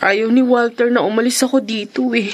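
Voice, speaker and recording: Woman playing a high-voiced, long-suffering Filipino housemaid. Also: fairly even intonation, brisk, lo-fi sound, close to an everyday voice. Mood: sad, hesitant. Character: long-suffering young housemaid, wounded and emotional. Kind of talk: Filipino radio drama acting.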